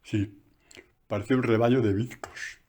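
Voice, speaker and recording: Husky-voiced priest preaching casually. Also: male voice, medium pitch, normal speed. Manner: conversational, animated preaching with asides. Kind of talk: sermon catechesis. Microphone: phone.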